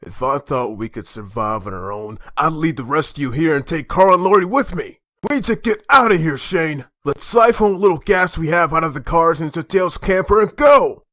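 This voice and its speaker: A man's voice: a little high, animated, normal speed, few pauses, fairly bright tone, no echo, clean studio sound. A man voicing a stern, desperate father who leads a group of survivors in a comic dub. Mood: grave, subdued.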